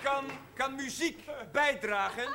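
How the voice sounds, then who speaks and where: An actor playing a timid, modest village chaplain in a television drama, hesitant and stammering. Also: male voice, very high-pitched, slow pace, bright, forceful tone, full character voice. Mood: timid, eager. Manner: hesitant, stammering, self-effacing. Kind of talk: 1970s television drama acting.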